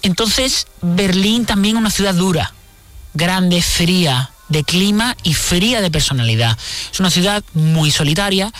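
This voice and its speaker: A woman's voice: on the low side, expressive, unhurried. A woman, talking candidly and reflectively as an interviewed guest, repeating words for emphasis. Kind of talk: conversational radio interview.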